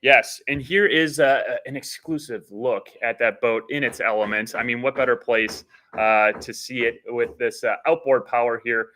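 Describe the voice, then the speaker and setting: A man's voice: mid-range voice, moderate pace, bright, forceful tone, a little hiss or hum. A man co-hosting a casual boating broadcast, upbeat and salesy, with lots of "uh" fillers. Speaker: co-host. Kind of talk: casual promotional chat.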